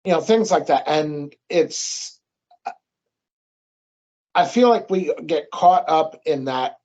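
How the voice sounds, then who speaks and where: A man's voice: on the high side, animated, slow pace, darkish tone. A young man, a new father, talking casually and reflectively on a podcast, rambling with lots of fillers. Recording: dull lo-fi sound.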